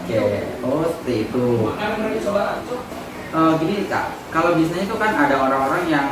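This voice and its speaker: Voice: medium pitch, expressive, normal speed. An interview host asking questions casually and prompting the guest with short acknowledgements. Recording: echoey room from far off, noisy.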